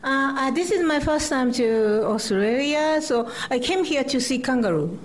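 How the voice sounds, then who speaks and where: Halting Japanese-accented woman poet, slightly high. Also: expressive, crowd noise. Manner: halting, laughing, many fillers. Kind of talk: live poetry reading with chatty introduction. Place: festival stage before an audience.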